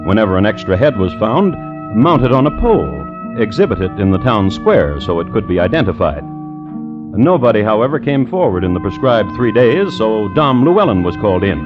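An actor playing a wry crime storyteller, narrating in droll, deliberate vintage radio style. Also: male voice, fairly low pitch, sing-song. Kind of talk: 1950s radio scripted narration. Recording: dull old radio recording. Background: plucked guitar music.

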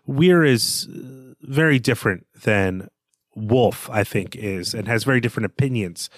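A man's voice: on the low side, animated, measured pace, dry recording, clean studio sound. A man co-hosting a book podcast, giving a thoughtful, analytical reading of a novel. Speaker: podcast co-host. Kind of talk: literary analysis in casual podcast talk.

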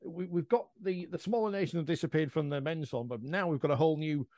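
A man's voice: on the high side, quick pace, darkish tone, dry recording. A man hosting a casual sports podcast, chatting in an enthusiastic, conversational way. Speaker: podcast host.

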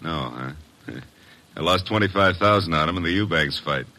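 A former racketeer putting on genteel airs in an old radio drama. His deep voice has a bright, hard edge, and he talks with guarded, stiff politeness. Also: animated, normal speed, full character voice. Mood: defensive. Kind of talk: old-time radio detective drama acting.

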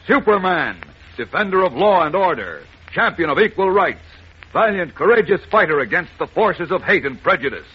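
A high-voiced American man announces an old radio serial in grand 1940s style. His delivery is dramatic and rough-edged, on a muffled broadcast recording. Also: sing-song, normal speed.

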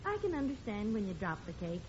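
An actress playing a long-suffering, reproachful American wife in an old radio sitcom, speaking emphatically. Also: female voice, medium pitch, big pitch swings, brisk, darkish tone, lightly acted. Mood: forgiving, weary. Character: long-suffering, scolding wife of a bumbling husband. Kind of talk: 1940s radio sitcom acting. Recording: dull, noisy old radio recording.

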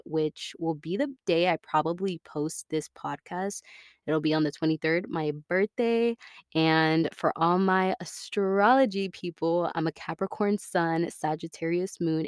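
This is a young American woman in her early twenties with a low-pitched voice. She talks like a podcast host alone with her listeners, informal, chatty and candid. The sound is close and dry, with very little room around her words. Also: dry recording. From Florida, United States. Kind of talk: casual personal podcast monologue.

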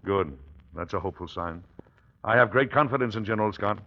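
An actor playing a dignified, genial middle-aged American president in an old-time radio drama, speaking in a measured, formal way. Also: male voice, lowish voice. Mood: gracious, measured. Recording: dull vintage radio recording.

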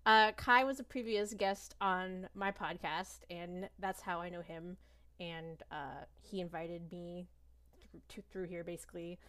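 A woman's voice: fairly low pitch, steady tone, unhurried, a bit bright, smooth. A woman in her thirties, a guest on a podcast, chatting casually. She rambles a little, pokes fun at herself and hesitates often with ums. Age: 30s. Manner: rambling, self-deprecating, hesitant with many ums. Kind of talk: casual chat.

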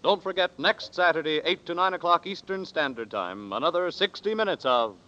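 Higher-voiced vintage radio announcer, male. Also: animated, fairly quick, pushed and bright, soft background music. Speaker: radio announcer reading credits. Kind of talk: 1940s scripted radio announcing. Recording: dull 1940s radio broadcast recording.

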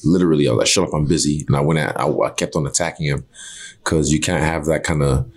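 Very deep-voiced man, intense and emphatic. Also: fast. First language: Yoruba. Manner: intense, emphatic, loose with swearing. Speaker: interview guest, fighter. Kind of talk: casual interview talk.

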